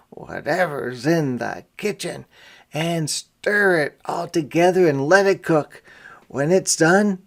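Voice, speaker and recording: A man reading a picture book aloud to young children as a teacher, phrasing deliberately and pausing to explain words simply. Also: fairly high pitch, gravelly. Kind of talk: interactive children's read-aloud with explanatory asides.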